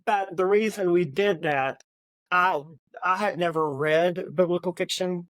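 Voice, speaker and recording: Halting, higher-pitched male podcast co-host. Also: fairly bright tone, dry recording. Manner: halting, hesitant delivery with repeated words. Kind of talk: casual book discussion chat.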